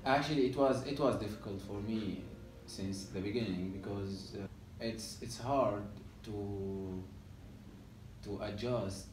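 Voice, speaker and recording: A man's voice: fairly low pitch, slow, a little mellow. A Syrian man in his twenties with an Arabic accent, speaking hesitant English with many fillers in a documentary interview, recorded in a library room. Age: 20s. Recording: roomy, distant sound with faint hiss.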